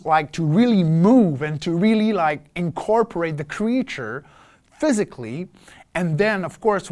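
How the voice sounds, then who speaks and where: A man's voice: on the high side, a little mellow. A man talking casually and enthusiastically about his voice work in a behind-the-scenes interview, with emphatic phrasing.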